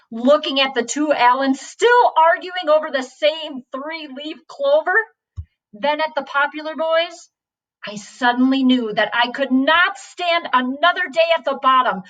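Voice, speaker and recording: High-voiced woman reading a story aloud. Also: a bit bright. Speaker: story reader. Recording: distant from the microphone.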